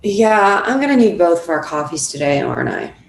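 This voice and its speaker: A woman's voice: lowish voice, very animated, a little fast, creaky. A woman playing a blunt, sarcastic, impatient young best friend in a script table read. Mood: wry, exasperated. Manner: sardonic, impatient. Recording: echoey room with faint noise.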